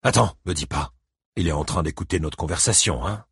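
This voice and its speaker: A man voicing a battered, lonely ex-convict father, gruff, curt and wry, in an audiobook novel. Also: low voice, brisk, a bit bright, no room sound, studio quality, heavily acted. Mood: weary, softened.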